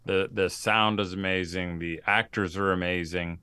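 Bright, clear-voiced man, emphatic podcast co-host. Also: sits low, steady tone, moderate pace, dry recording. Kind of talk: casual, emphatic conversation.

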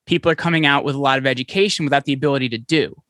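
A man being interviewed as a podcast guest, talking in quick, animated stories full of restarts. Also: mid-range voice, dry recording, clean studio sound. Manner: fast, animated storytelling with many restarts. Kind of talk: conversational interview. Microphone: podcast microphone, remote call.

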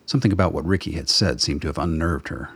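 A man narrates a radio drama from a script. His voice is low and deep, with a husky, breath-roughened grain. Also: quick pace, a bit bright.

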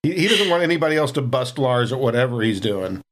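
A man's voice: medium pitch, sing-song, fast talker. A man playing a tabletop role-playing game, describing his character in a chatty, filler-heavy way. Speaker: player. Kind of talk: casual tabletop game chat.

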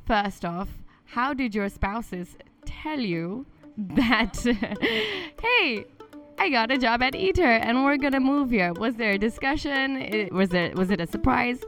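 A woman's voice: a little high, expressive, slow, fairly bright tone, soft background music. A woman hosting a podcast, reading an upbeat scripted intro and then asking her guests questions. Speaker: podcast host.

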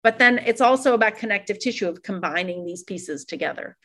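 A middle-aged woman from Iran, talking fast and animatedly as an interview guest, with many fillers and restarts. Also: medium pitch, animated, a bit bright. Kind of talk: reflective interview conversation.

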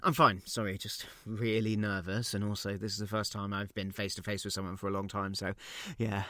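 An anxious, over-eager first-date suitor. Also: male voice, fairly low pitch, level tone, brisk, sharp tone, no echo, clean studio sound. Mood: nervous, eager. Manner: hesitant, flustered, apologetic. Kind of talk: naturalistic audio drama acting.

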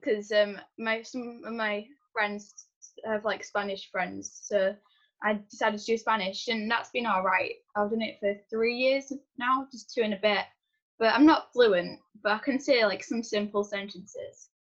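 A woman's voice: mid-range voice, fairly even intonation, a little slow. A teenage girl chatting casually as a guest, candid and full of fillers like "like". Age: teens. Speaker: young guest. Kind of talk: casual chat. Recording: dull lo-fi sound.

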